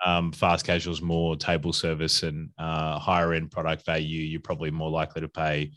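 A man's voice: rumbling low, monotone, brisk, fairly bright tone. A man with an Australian accent, a podcast guest talking casually and upbeat, with lots of fillers and restarts. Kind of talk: casual conversational interview.

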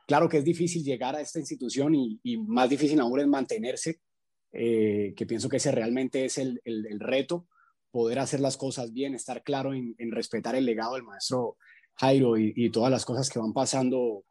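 A man, a singer answering interview questions reflectively, with measured phrasing. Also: mid-range voice, fairly even intonation, warm tone, slightly rough. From Colombia. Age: 20s. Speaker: salsa singer, interview guest.

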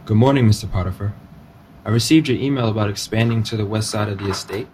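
An actor playing a confident, ambitious nineteen-year-old estate aide in a modern audio drama, speaking respectfully and earnestly. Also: male voice, on the low side, fast, very noisy, close to an everyday voice. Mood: assured, respectful. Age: young adult. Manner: respectful, earnest, self-assured.

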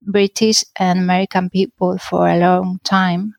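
Spanish-accented woman, low dry voice. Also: level tone, measured pace, gravelly, dry recording. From Spain. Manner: hesitant, searching for words, restarts. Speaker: guest, shop owner. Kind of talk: casual interview answers.

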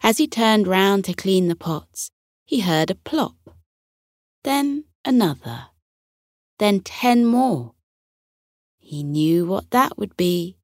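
A woman narrating a children's bedtime story in a gentle, expressive storytelling manner. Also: mid-range voice, slow pace, pauses often, no echo, studio quality.

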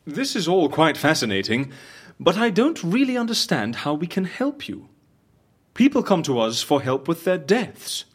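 An actor in an audio drama playing an inquisitive, excitable agent of a shady secret agency, with a husky voice. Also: male voice, on the high side, normal speed. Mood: incredulous. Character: curious, probing male agent for secret death-faking agency.

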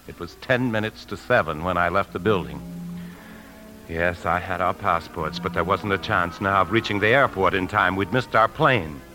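A man's voice: sits low, normal speed, over music, close to an everyday voice. An actor in a 1950s radio drama playing a driven, secretive husband, urgent and insistent as he speaks and narrates. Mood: tense narration. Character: tense, driven husband hiding a secret, desperate to escape.

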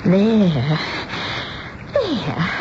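An actress playing a dotty, tender old seamstress in a radio drama, fussing, halting and repeating her words. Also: medium pitch, huge pitch swings, heavily acted. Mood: fussy, fluttering. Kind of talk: 1970s radio drama acting. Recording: dull, noisy 1970s radio recording.

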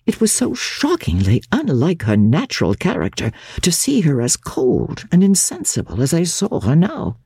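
A woman giving a formal, expressive and measured dramatic narration of a diary from a classic novel. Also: deep voice, husky, no echo. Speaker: audiobook narrator.

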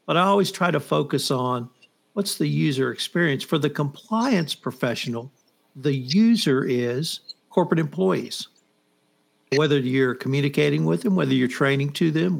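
A man's voice: fairly high pitch, normal speed, creaky. An American man hosting a podcast and putting questions to a guest in a conversational way. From United States. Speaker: podcast host. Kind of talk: conversational interviewing.

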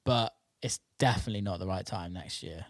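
A man's voice: deep voice, fairly quick, no echo. Sweary, informal man in a dry recording. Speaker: music producer and interviewer. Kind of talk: casual chat.

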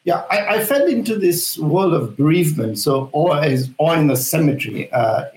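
An older man from Zambia, answering interview questions earnestly, with frequent pauses and fillers. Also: on the high side, lively intonation, a little mellow. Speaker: guest, cemetery founder. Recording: echoey room.

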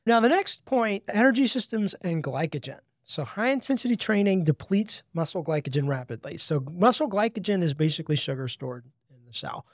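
A man's voice: high-pitched, animated, brisk, no room sound, clean studio sound. A man in his thirties co-hosting a casual fitness podcast, explaining points from his list one by one. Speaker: podcast co-host.

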